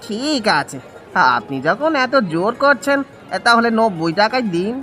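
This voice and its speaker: A man's voice: extremely high pitch, very animated, normal speed, fairly bright tone, faint music behind, heavily acted. A man voicing a humble, pleading village fish seller in a cartoon, deferential and imploring. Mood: polite, eager. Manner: deferential, imploring, calls out like a vendor. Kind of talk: cartoon voice acting in Bengali village story.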